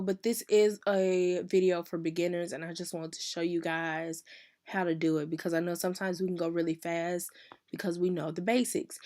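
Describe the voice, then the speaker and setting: A woman, hoarse and losing her voice from illness, chatting casually through a hair tutorial and often correcting herself. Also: fairly low pitch, a little mellow. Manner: chatty, uses "y'all", self-correcting. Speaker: hairstylist teaching a tutorial.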